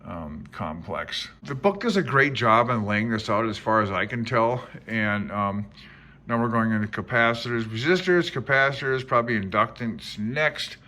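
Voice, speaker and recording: A man's voice: medium pitch, lively intonation, moderate pace, pushed and bright. A man in his sixties giving casual, rambling commentary as he pages through a book, laid-back and reminiscing as he thinks aloud. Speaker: amateur radio hobbyist presenting a handbook.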